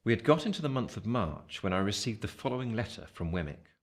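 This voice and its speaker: An actor playing an earnest Victorian gentleman who narrates his own past in literary, measured storytelling for a radio play. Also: lowish voice, sing-song. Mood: measured, tense. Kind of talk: first-person dramatic narration, radio play. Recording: clear ambient sound effects behind voice.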